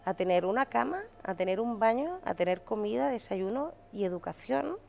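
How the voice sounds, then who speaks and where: A woman in a documentary interview, recounting the past in a measured way and stressing each item as she lists it. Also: fairly high pitch, animated, gravelly, mellow.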